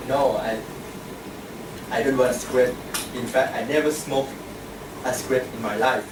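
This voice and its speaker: A man acting as grammarian, giving a short grammar lesson with worked examples in a club meeting room. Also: medium pitch. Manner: plain, repetitive teaching with worked examples. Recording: echoey room, distant mic, air conditioning hum.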